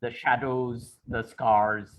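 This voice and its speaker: A man's voice: medium pitch, steady tone, takes it slowly. A man moderating a literary conversation, articulate, putting long and thoughtful questions to a guest. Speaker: interviewer, moderator. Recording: dull, lo-fi call-like audio.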